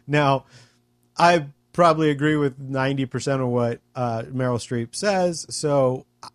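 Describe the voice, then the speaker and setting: Measured man making his case. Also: medium pitch, no room sound, studio quality. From California. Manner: measured, argues points step by step. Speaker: podcast co-host. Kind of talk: casual political chat.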